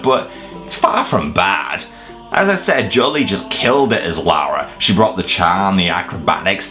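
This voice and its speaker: Male countdown host, slightly low voice, very lively intonation, jokey and casually sweary, light music underneath. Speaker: video reviewer and host. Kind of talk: semi-scripted countdown review commentary.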